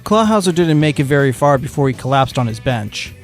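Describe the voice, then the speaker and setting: A man's voice: mid-range voice, sing-song, brisk. A man reading fan fiction as narrator, in scripted storytelling style. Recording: music under the voice.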